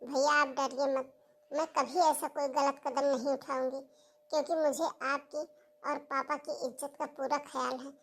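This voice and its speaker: A woman's voice: high-pitched, flat delivery, normal speed, a bit bright. A woman voicing a pleading, plaintive young daughter in a cartoon family melodrama. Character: pleading, tearful young daughter who wants to study.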